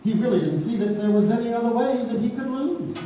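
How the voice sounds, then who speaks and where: An actor playing a measured storyteller, narrating a live stage radio play. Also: male voice, very high voice, expressive, dull audio. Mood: storytelling. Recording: echoey theater, distant mic, faint noise.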